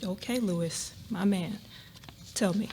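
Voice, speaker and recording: A woman's voice: medium pitch, slow pace, mellow, a little hiss or hum, poor audio. A woman playing an affectionate middle-aged wife and mother, chatty and easygoing, in a dramatic reading. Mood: curious, affectionate.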